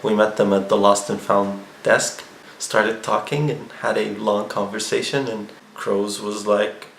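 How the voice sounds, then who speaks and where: Gravelly-voiced man chatting in an echoey room. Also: fairly low pitch, level tone, measured pace, a bit bright. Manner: chatty, rambling with restarts. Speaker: vlogger. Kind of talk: casual recap vlog. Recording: echoey room with faint ambient noise.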